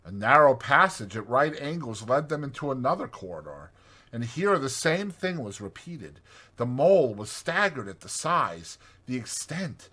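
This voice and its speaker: A man's voice: medium pitch, big pitch swings, moderate pace, pushed and bright. A man narrating a classic storybook as an audiobook reader.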